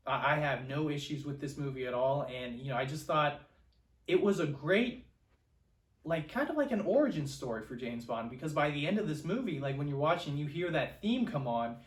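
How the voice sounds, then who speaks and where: A man's voice: a little high, animated, moderate pace, recorded from a distance. A man co-hosting a podcast, giving rambling, casual opinions on films. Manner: rambling, peppered with "like" and "you know". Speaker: podcast co-host. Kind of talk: casual film discussion. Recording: echoey room, off-mic, faint noise.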